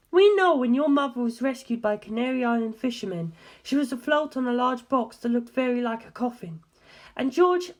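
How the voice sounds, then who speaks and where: Lady doing a high voiced young woman, pushy and teasing, chatty audiobook character. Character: Darlington, sharp teasing young English woman. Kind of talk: dramatic audiobook character dialogue.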